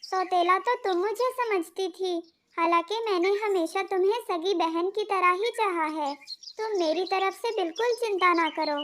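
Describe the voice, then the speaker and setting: A woman's voice: very high-pitched, normal speed, fairly bright tone. A woman voicing a kind, wronged young butterfly sister in a children's cartoon, earnest and emotional. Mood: gentle, reassuring. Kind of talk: Hindi children's cartoon dialogue.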